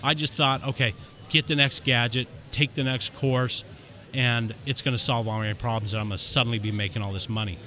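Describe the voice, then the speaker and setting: A man guest on a podcast, candidly telling his story in an animated way, with quick rushes of speech. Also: medium pitch. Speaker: dentist guest. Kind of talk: casual interview storytelling.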